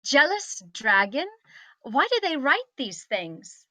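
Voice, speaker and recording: A woman's voice: high voice, big pitch swings, takes it slowly, sharp tone, dry recording, full character voice. A woman acting a haughty, entitled princess in a script read, with prim, imperious, formal diction. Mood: indignant.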